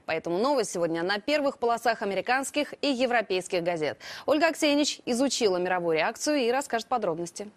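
A woman in her thirties presenting scripted television news as a studio anchor. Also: a little high.

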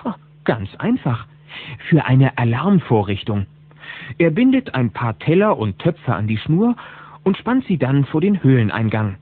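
An actor as a lively storyteller, narrating a scripted children's adventure audio drama. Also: male voice, medium pitch, a little slow, breathy edge, no room sound. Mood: storytelling. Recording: tinny band-limited old cassette.

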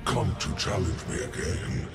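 A man playing a proud, scornful alien warlord's spectre in a video game, forceful and declamatory. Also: low voice, big pitch swings, unhurried. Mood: scornful, challenging. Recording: echoing voice over game music.

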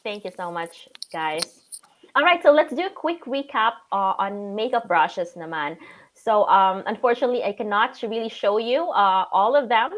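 A woman in her thirties with a Filipino accent and a raspy voice, hosting a live stream from home over a video call. She talks at a medium pitch and runs through her tips in a chatty way. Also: expressive, normal speed. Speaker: host of a live show. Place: home room with shelves.

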